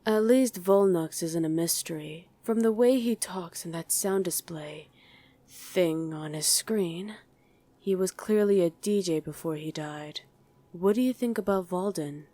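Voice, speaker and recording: A woman's voice: lowish voice, measured pace, mellow, no room sound, natural voice. A woman playing a wry, easily irritated demon in a conversational ASMR roleplay, chatting and bickering with a partner. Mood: casual, curious. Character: female demon on mortal-hunting duty, wry, easily irritated. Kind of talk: ASMR roleplay acting to an unheard listener.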